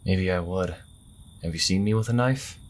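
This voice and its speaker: A man's voice: sits low, normal speed, slight background noise, close to an everyday voice. A man playing an earnest, conflicted young priest in a fantasy audio drama, hesitant and prone to stammering. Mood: flustered, bantering. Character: earnest, conflicted twenty-two-year-old male priest.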